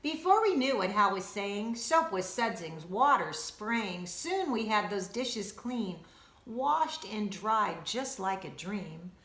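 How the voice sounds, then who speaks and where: A woman reading a rhyming children's story aloud in an expressive, rhythmic, sing-song way, like a teacher. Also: medium pitch, takes it slowly. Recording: roomy, echoing indoor sound.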